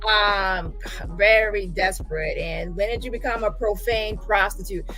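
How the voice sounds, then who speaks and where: A woman's voice: medium pitch, unhurried, bright, forceful tone. A woman host giving casual reaction commentary, blunt and sassy, repeating phrases for emphasis. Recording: music playing behind the voice.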